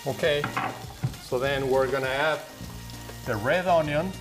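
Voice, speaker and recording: A man from Mexico City with a Mexican Spanish accent, a guest demonstrating a recipe in a kitchen and talking haltingly with many pauses and fillers. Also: medium pitch. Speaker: guest cook. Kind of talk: cooking demonstration. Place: home kitchen. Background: background music and sizzling pan.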